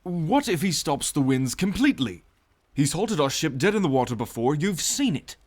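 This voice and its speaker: An excitable ship's crewman, played by a man in an audio adventure. The voice is notably high for a male. He speaks eagerly, with a concerned, exclamatory manner. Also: moderate pace. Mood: worried. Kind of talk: cinematic audio adventure acting.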